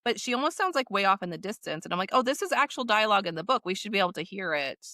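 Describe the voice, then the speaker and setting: A woman's voice: medium pitch, big pitch swings, rapid-fire, sharp tone, dry recording, studio quality. A woman co-hosting a podcast, talking casually and conversationally. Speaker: podcast co-host.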